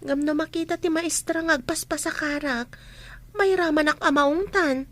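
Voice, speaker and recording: A woman's voice: high voice, moderate pace, natural voice. A woman playing an earnest, dutiful teenage schoolgirl in a radio drama, speaking politely and a little hesitantly. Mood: earnest reluctance.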